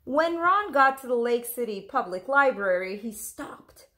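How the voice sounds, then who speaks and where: A woman in her thirties reading a picture book aloud, expressive, deliberate and dramatic, like a teacher. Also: on the high side. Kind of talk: expressive storybook read-aloud. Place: small room at home. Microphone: camera or phone at close range.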